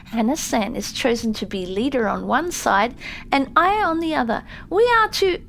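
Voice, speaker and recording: An eager little girl of about ten acted by a woman reader speaks in an audiobook. The voice is high, earnest and respectful, with faint background noise. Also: animated, moderate pace, gravelly, a bit bright. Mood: excited, eager. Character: eager, polite ten-year-old Japanese girl. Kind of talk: audiobook character voice.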